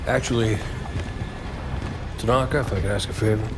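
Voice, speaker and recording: A man's voice: fairly low pitch, fairly even intonation, moderate pace, noisy background, close to an everyday voice. An actor playing an easygoing veteran male soldier in a video game, casual and wry. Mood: wry camaraderie. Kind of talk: video game cutscene acting. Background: music.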